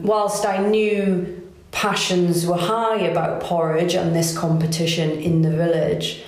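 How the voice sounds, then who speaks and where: A woman in her forties, raised in Wales, chatting in an interview as a competition organiser, with frequent restarts. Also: on the low side. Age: 40s. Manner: chatty, warm laughs, many restarts. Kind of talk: casual interview. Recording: roomy echo.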